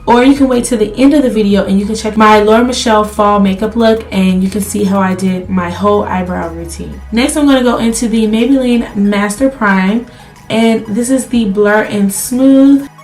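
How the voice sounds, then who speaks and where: A woman's voice: medium pitch, normal speed, darkish tone, soft background music. A woman beauty vlogger chatting casually to viewers through a makeup tutorial, her voice slightly crackly as she is losing it while under the weather. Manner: chatty, addresses viewers directly.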